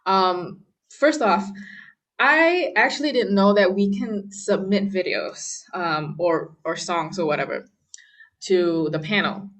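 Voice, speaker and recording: A woman's voice: mid-range voice, slow, a bit bright. A young woman from Malaysia, a pianist, chatting casually in an interview with plenty of fillers and laughs. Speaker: guest pianist.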